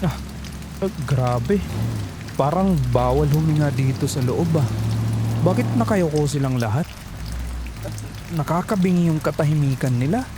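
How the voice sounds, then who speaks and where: A woman's voice: sits low, lively intonation, measured pace, long pauses, background noise. A woman with a Filipino accent playing a chatty, nervous young call center agent in an audio horror drama, with stammering, colloquial speech.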